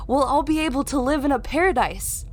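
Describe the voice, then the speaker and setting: A woman's voice: high voice, animated, a little fast, a little mellow, slight background noise. An actress playing a confident, teasing female scientist in an audio drama, upbeat and assured. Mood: proud, teasing.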